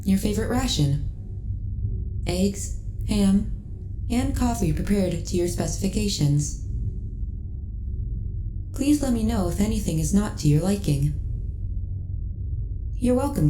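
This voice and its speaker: A woman voicing a friendly, caring ship AI in a sci-fi ASMR roleplay, speaking in a measured, formal, precisely enunciated way. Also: mid-range voice, dark tone, reverberant, slight background noise, close to an everyday voice, low-quality recording.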